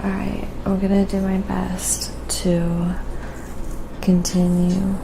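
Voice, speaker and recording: Slow-paced, gravelly woman streamer. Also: medium pitch, level tone. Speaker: live stream host and painter. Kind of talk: casual chat to live stream viewers. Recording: clear background noise, live stream.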